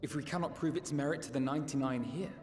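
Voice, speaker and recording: Man as an earnest high-voiced young diplomat, echoey. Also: quick pace, natural voice. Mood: earnest resolve. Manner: formal, courteous negotiating. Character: earnest, courteous, determined young male diplomat. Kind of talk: scripted video game voice acting. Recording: reverberant game audio with loud music.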